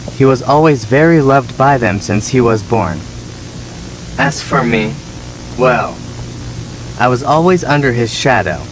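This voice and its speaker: A man playing a neglected, resentful younger son, telling his story as measured, plaintive scripted horror narration. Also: mid-range voice, slight background noise, close to an everyday voice, poor audio. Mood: wistful, resigned.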